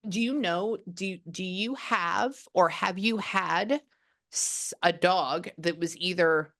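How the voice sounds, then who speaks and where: A woman in her thirties with a low, deep-set voice talks a client through a reading. She rambles and jumps into quick bursts of surprise, chatting loosely over a video call. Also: a bit bright. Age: 30s. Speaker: psychic medium.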